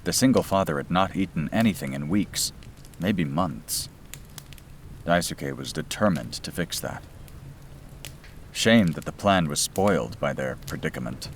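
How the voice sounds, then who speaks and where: Low-voiced man narrating a bedtime story. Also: animated, unhurried, long pauses. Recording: clear background noise and ambience.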